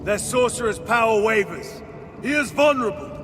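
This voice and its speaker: An actor playing an earnest, vigilant soldier in a video game, terse and formal. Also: male voice, extremely high pitch, lively intonation, moderate pace, background noise. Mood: intent. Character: earnest, vigilant male soldier of armoured brotherhood. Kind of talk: dramatic video game acting. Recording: music behind the voice.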